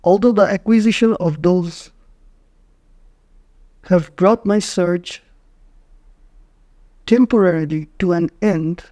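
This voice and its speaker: A man narrating a guided meditation in short, measured phrases with frequent pauses. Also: sits high, warm tone, dry recording.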